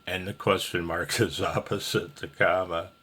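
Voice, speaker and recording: A man's voice: low-pitched, little pitch movement, moderate pace, heavy background noise. A man giving a casual, rambling amateur radio tutorial in a folksy, self-deprecating, slightly nervous way.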